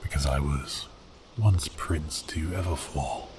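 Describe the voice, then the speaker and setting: Huge sea monster prince, super low n slow, wry and theatrical, breathy asmr roleplay, some ambient noise behind. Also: male voice. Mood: wistful, resigned. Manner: grand archaic phrasing, teasing, theatrical. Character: giant male sea beast, cursed prince, wry and lonely.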